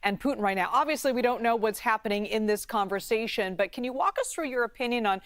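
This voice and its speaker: A woman's voice: a little high, fast, dry recording, clean studio sound. A woman interviewing a guest as a news anchor, putting direct questions.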